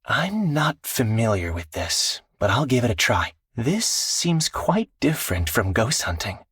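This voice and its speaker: A man voicing an earnest, dutiful teenage exorcist swordsman in a video game, speaking solemnly and politely in measured phrases. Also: medium pitch, sing-song, a bit bright, slightly rough, no echo, clean studio sound, heavily acted. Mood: calm, thoughtful. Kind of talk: scripted video game character voice lines.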